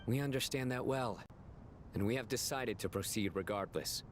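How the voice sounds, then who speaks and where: An actor playing a firm, reasoning council member in a video game, formal and resolute. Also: male voice, normal speed, a little hiss or hum, natural voice. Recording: faint rumbling game sound behind.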